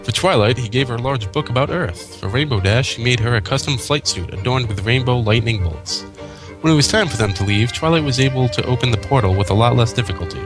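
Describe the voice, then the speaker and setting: Male co-host narrating over clear music. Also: mid-range voice, expressive, quick pace, rough recording. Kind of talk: scripted fan fiction narration. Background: background music.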